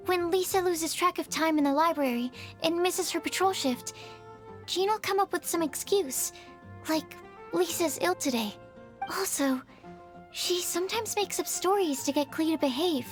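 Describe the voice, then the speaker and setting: A woman playing a sweet, earnest young alchemist's assistant in a video game, explaining things politely and gently. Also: very high voice. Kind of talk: video game character acting. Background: background music.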